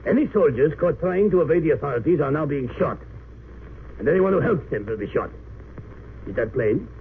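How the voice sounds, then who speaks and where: An actor playing a cold, haughty German army lieutenant in an old radio drama, stern, formal and sneering. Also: male voice, fairly high pitch, lively intonation, brisk. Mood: sneering threat. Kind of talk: 1930s-40s radio drama acting. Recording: tinny, hissy old radio recording.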